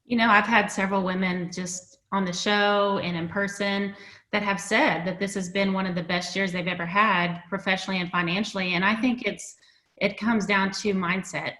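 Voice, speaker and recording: A woman's voice: mid-range voice, pushed and bright. A woman co-hosting a show over a video call, interviewing in a conversational way. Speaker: show co-host. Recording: roomy, echoing sound.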